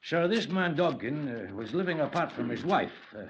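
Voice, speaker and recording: A deferential old police sergeant, speaking with a lively, rising and falling voice pitched a little high. He sounds hesitant, with drawn-out pauses. A dull, lo-fi 1950s radio recording. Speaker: actor in radio drama. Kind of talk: 1950s radio drama acting.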